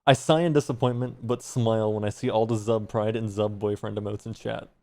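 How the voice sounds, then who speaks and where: A man's voice: lowish voice, fairly even intonation, fairly quick, darkish tone. A man streaming, reading fan fiction aloud with some embarrassed amusement.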